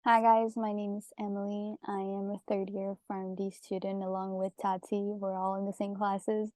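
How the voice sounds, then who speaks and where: A young woman from Quebec whose first language is French, speaking English with a Quebec French accent in a chatty, relaxed way as a student panelist. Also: medium pitch, normal speed, dry recording, rough recording. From Quebec, Canada. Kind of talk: casual panel talk.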